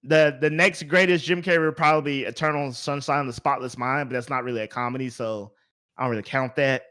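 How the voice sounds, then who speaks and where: A man's voice: mid-range voice, steady tone, quick pace, fairly bright tone. A man hosting a podcast, chatting casually about movies in an informal, emphatic way. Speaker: podcast host.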